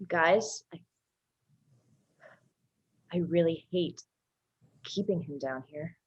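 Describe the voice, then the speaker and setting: A woman playing a fiercely maternal vampire sister, defiant yet tender, in a husky voice for a play script reading. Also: low-pitched, takes it slowly, lo-fi sound, exaggerated voice. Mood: pleading, defiant. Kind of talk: dramatized livestream script reading.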